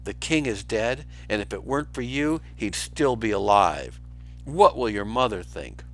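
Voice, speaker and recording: A man's voice: fairly low pitch, expressive, unhurried, soft background music. A man voicing a scheming, menacing male lion villain with a commanding manner in a children's storybook reading. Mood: menacing, accusing. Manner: sinister, commanding. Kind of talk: dramatic children's storybook read-aloud.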